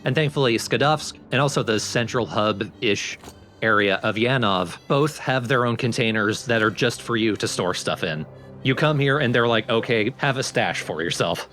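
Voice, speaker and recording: A man's voice: mid-range voice, normal speed, a bit bright, soft background music. A man giving casual, explanatory commentary on a video game as he plays. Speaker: let's play commentator.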